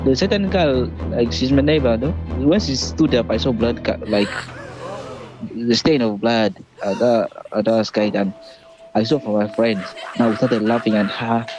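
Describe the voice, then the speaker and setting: A young Ugandan man gives an unscripted account at a medium pitch. He punctuates it with little questions he answers himself, and music plays clearly behind him. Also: steady tone, normal speed, low-quality recording. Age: young adult. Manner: asks and answers his own questions. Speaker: interviewee, youth group member. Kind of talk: unscripted personal storytelling.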